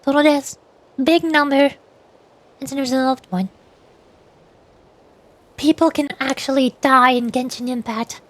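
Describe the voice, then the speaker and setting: A woman doing casual livestream game commentary, playfully muttering to herself, close on the mic. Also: high voice. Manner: playful, mumbles aloud to herself. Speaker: VTuber game streamer. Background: game music.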